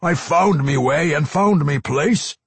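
A man voicing a gruff, tormented dwarf vampire for a fantasy story, growling and exclaiming in rustic old-fashioned speech. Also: on the high side, takes it slowly, no echo, pitched above the performer's own voice, full character voice. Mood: defiant.

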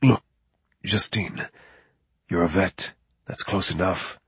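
A man's voice: low voice, slow, breathy edge, dry recording, exaggerated voice. A man playing a weak, wounded tough guy. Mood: strained. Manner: quiet, terse, strained. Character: wounded male operative, tough, weakened.

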